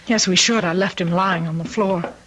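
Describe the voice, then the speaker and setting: A woman's voice: on the low side, steady tone, moderate pace, fairly bright tone, a little hiss or hum, lightly acted. An actress playing an anxious, doting middle-aged mother in an old-time radio drama, speaking in an earnest, fretful way. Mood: concerned, relieved.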